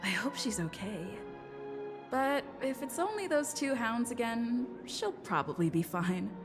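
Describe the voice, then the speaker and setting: An actress playing a calm, caring young heroine in a video game, musing to herself in a low-key way. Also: female voice, measured pace, over music. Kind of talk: game inner monologue.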